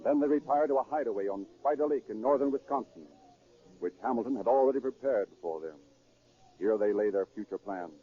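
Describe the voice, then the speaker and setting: A man's voice: mid-range voice, a little fast. A man delivering dramatic scripted narration in the style of 1930s radio. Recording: tinny, phone-like 1930s radio recording.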